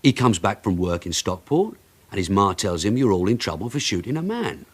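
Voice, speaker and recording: An actor playing a shrewd, calculating police sergeant in a radio drama, pressing and needling with controlled questions. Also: male voice, lowish voice, sing-song, brisk, husky. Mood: pressing, menacing.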